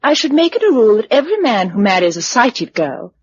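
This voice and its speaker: A woman's voice: a little high, big pitch swings, fast, breathy edge, highs cut off. An actress playing a resolute, loving, practical young woman in a radio drama. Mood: earnest, insistent.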